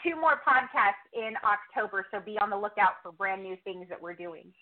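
A woman's voice: mid-range voice, lively intonation, normal speed, heavy background noise. A middle-aged woman chatting casually as a podcast-host guest in an interview. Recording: thin phone-like call audio.

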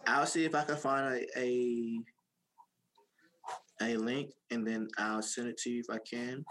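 Male co-host at a middling pitch, easygoing and jokey, searches for words mid-sentence. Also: steady tone, fairly bright tone, slight background noise. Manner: halting, many ums and restarts, playful. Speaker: podcast co-host. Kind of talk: casual chat.

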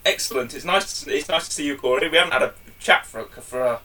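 A man's voice: on the high side, fast talker, pushed and bright, distant mic. A man co-hosting a casual review podcast, chatty and jokey, often calling his co-host by name.

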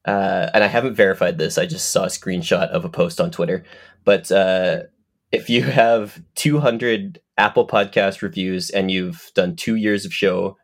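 A man with a stuffed-up head cold co-hosting a casual film and TV podcast, talking in a rambling, stop-start way with repeats. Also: low voice. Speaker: podcast co-host.

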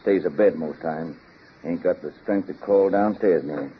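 An actor playing a folksy rural American farm manager with drawling, homespun talk in an old radio drama. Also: male voice, deep voice, measured pace. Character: folksy hired farm manager, a plain country man. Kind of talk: 1930s-40s radio drama acting. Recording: dull old radio recording, background noise.

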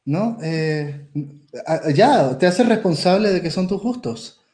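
Blunt, opinionated man, higher voice. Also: lively intonation, takes it slowly, mellow. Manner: blunt, opinionated, restarts words. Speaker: podcast co-host. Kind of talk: casual discussion.

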